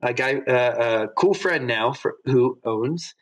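Man, podcast guest, loose upbeat storyteller, mid-pitched voice, lots of "um" and "like". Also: slow pace. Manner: rambling, upbeat storyteller with many fillers. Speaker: podcast guest, knife designer. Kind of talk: casual podcast chat.

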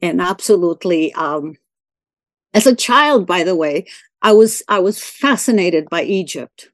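Older woman, halting friendly talk. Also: on the low side, animated, no echo. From Venezuela. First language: Spanish. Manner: hesitant, many fillers and restarts, laughs easily. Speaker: interviewee. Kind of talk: conversational oral-history interview.

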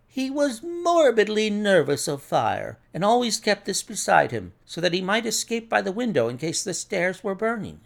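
A man's voice: high voice, wildly swooping pitch, normal speed, creaky, hardly any pauses, pitched above the performer's own voice, full character voice. A man voicing an earnest, anxious doctor in a detective audiobook, speaking emphatically. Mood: explaining.

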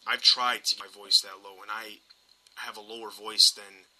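A man's voice: on the low side, level tone, moderate pace, pushed and bright, low-quality recording. A man hosting a podcast, talking casually about film in an emphatic, opinionated way. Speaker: podcast host.

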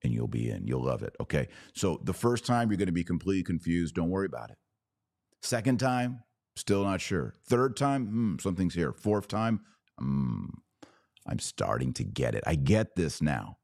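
A man's voice: deep voice, very animated, normal speed, creaky, darkish tone, no echo, clean studio sound. A man hosting a religious podcast, speaking straight to his viewers in an earnest, emphatic, preacherly way. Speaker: podcast host. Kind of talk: live religious commentary to viewers, with exhortation and prayer.

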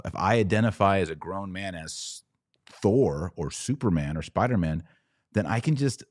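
Man, middle-aged, low-pitched voice, preachy podcast monologue, emphatic conversational delivery, close and dry sound. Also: darkish tone, no room sound. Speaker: podcast host. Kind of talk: live podcast monologue, religious commentary.

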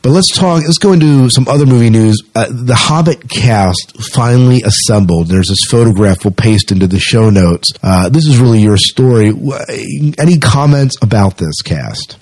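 A man's voice: mid-range voice, expressive, normal speed, hardly any pauses, a little mellow. A man co-hosting a podcast, chatting casually through entertainment news. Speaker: podcast co-host. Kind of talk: casual chat about sci-fi movie news.

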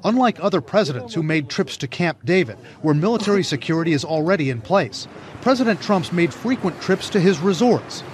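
A man's voice: on the high side, lively intonation, fairly quick. A man narrating a scripted television news report as a reporter. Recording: news clip with clear outdoor noise.

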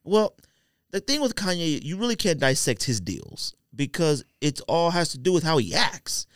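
A man's voice: fairly high pitch, big pitch swings, moderate pace, fairly bright tone, no room sound, studio quality. A man co-hosting a podcast in casual, opinionated chat, talking in a rambling, repetitive, slangy way. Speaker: podcast co-host.

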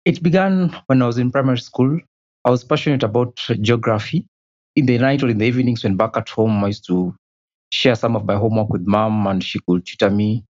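A man's voice: medium pitch, fairly quick, darkish tone, dull audio. A man, answering interview questions hesitantly and often repeating a word as he recalls his past. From Kenya. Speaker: guest.

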